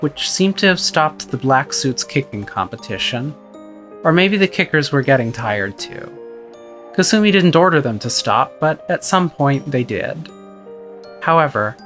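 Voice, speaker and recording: A sorrowful young female narrator voiced by a performer telling her story in a quiet, measured way. The pitch sits in an ordinary middle range. Faint music runs beneath the narration. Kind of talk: visual novel narration.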